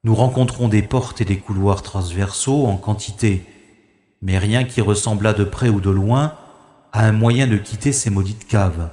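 A man's voice: lowish voice, unhurried, dark tone, natural voice. A man playing a wry, gallant adventurer in an audio drama, speaking formally and thinking aloud. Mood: puzzled, searching. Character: wry, gallant male adventurer rescuing a young woman. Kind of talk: French-language audio drama acting with first-person narration. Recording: echoey long reverb, music behind.

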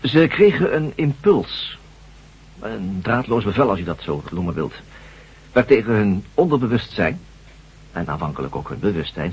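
An actor playing an authoritative professor of brain surgery in a radio drama, formal, measured and lecturing. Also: male voice, medium pitch, a little mellow, husky. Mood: explanatory, emphatic. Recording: dull lo-fi old radio recording.

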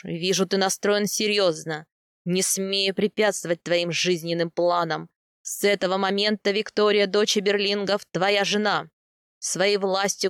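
A woman's voice: lowish voice, clear, resonant tone, no echo, studio quality, close to an everyday voice. A woman voicing a stern older khan, a grave and formal father who speaks with authority in a fantasy audiobook. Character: stern older male khan, commanding, wryly indulgent father.

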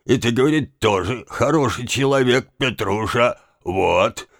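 Slow, stubborn, tipsy manservant. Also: male voice, medium pitch, a bit bright, dry recording, clean studio sound. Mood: sullen, rambling. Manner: drawling, repetitive, obstinate. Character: stubborn, tipsy, insolent manservant, dull-witted and evasive. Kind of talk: dramatised audiobook of a classic novel.

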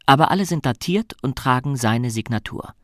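A man's voice: mid-range voice, expressive, moderate pace, fairly bright tone, no room sound. A man reading scripted dramatic narration for a radio play. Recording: studio narration over clear music. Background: music.

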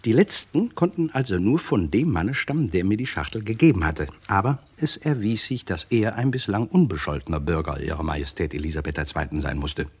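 Deep husky guy a wry crime narrator in an old radio play, lively swings in his voice. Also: brisk, hardly any pauses, exaggerated voice. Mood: dry, matter-of-fact. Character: dry, wry male narrator of a crime case.

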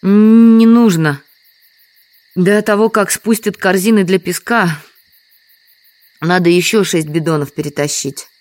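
A woman's voice: fairly low pitch, unhurried, long pauses, darkish tone, dry recording, lightly acted. An actress playing a humble, trusting village woman in an audio drama, explaining things simply. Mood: gently declining.

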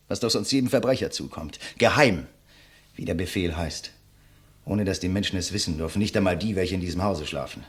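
An actor playing a proud, scornful condemned intellectual in a 1950s German radio play, declamatory and contemptuous. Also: male voice, lowish voice, husky. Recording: vintage 1959 radio recording.

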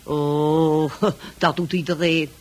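Haughty would-be countess in a radio play: lowish female voice, slow, imperious, condescending. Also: flat delivery. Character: haughty, domineering woman patient styling herself a countess. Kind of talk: Dutch radio play acting. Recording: noisy old radio drama recording.

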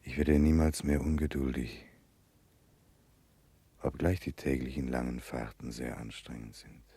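A grave Russian gentleman in a 1960s radio play. His voice is extremely deep and strongly husky. He speaks with measured, earnest restraint. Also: flat delivery, dry recording, exaggerated voice. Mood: calm, reflective. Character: grave, remorseful Russian gentleman following a convict woman. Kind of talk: 1960s German radio drama acting.

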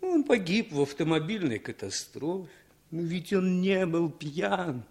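An actor playing a bitter, drunken émigré and former prisoner of war in a 1960s Soviet radio play, brooding, halting and repeating himself. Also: male voice, high voice, very animated, exaggerated voice. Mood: drunken, accusing.

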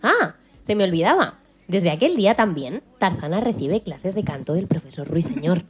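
A woman from narrating a dramatised children's story in an expressive, playful way. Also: fairly low pitch, normal speed, breathy edge. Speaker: storyteller, programme presenter.

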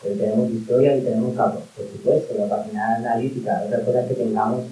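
A man's voice: medium pitch, steady tone, fast talker, dark tone, distant mic. A man from Colombia speaking at a public talk, arguing passionately and leaning on fillers as he goes. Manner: impassioned, argumentative, full of fillers like "o sea" and "en plan". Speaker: panel speaker, migrant activist. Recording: roomy hall, voice picked up from afar, faint hiss.

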